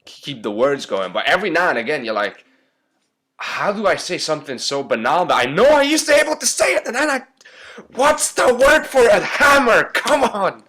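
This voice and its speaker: A man's voice: high voice, sing-song, normal speed, bright, forceful tone. A man hosting a podcast, chatting casually and joking, with long rambling questions. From Hungary. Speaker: podcast host. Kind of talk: casual interview chat.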